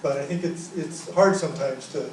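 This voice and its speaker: A man's voice: fairly high pitch, normal speed, darkish tone. A man presenting at a workshop, leading an open group discussion and often restarting his sentences. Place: conference room, echoey. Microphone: room mic from a distance. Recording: echoey room, distant mic, faint noise.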